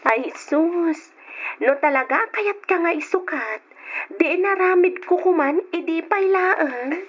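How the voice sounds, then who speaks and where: Woman as a high-voiced, bubbly young student; lo-fi radio. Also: animated, slow, heavily acted. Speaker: student character. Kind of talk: radio drama acting. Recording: dull lo-fi radio recording.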